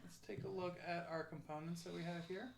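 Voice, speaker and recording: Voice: medium pitch, steady tone, a little fast, gravelly, a little hiss or hum. A show host interviewing guests in a casual livestream chat.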